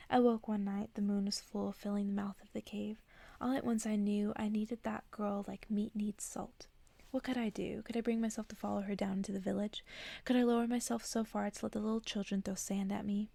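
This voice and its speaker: A woman ASMR host whispering softly and close to the microphone in a breathy voice, as if reading bedtime stories aloud. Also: medium pitch, no room sound. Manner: whispering, soft-spoken.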